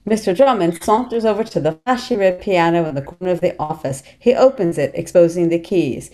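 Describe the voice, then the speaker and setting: A middle-aged woman narrates a screenplay's stage directions. Her voice sits a little low, with a dark, relaxed tone. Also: fairly quick, soft background music. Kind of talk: scripted narration. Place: small plain room at home, on video call.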